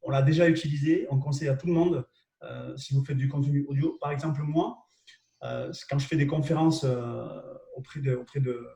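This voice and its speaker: Southwest french guy presenting on a live webinar, lots of euh and repeats, mellow tone, echoey room, mic kinda far. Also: mid-range voice, steady tone, a little fast, creaky. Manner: hesitant and repetitive, checks in with listeners. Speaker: co-host presenting marketing tools.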